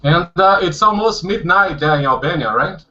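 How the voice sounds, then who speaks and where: Upbeat Brazilian host on a muffled video call. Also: male voice, high-pitched, lively intonation, normal speed, pushed and bright. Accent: Brazilian Portuguese. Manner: upbeat, switches between Portuguese and English, laughs often. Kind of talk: casual group video call hosting. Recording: dull lo-fi video call audio.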